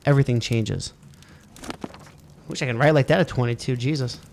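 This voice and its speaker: A man's voice: mid-range voice, moderate pace, creaky, a little mellow, slight background noise. A man playing a video game, muttering, swearing at it and reading its text aloud. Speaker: let's play gamer. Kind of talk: casual let's play commentary, reading game text aloud.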